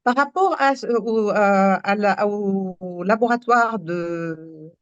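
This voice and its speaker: A woman who grew up in Morocco, a storyteller whose mother tongue is Moroccan Arabic, talks thoughtfully and pauses to find her words. Her voice comes through a remote link that sounds muffled and very dry, with no room echo. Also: mid-range voice. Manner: earnest, searching for words, reflective. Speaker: storyteller and workshop leader. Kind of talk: panel discussion. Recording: dull dry remote audio.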